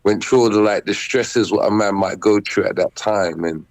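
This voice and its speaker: A man's voice: on the low side, normal speed, no room sound. A man, a musician guest chatting loosely and repeating himself on a remote call. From Netherlands. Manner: laid-back, repetitive, shouts out greetings. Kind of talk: casual interview chat.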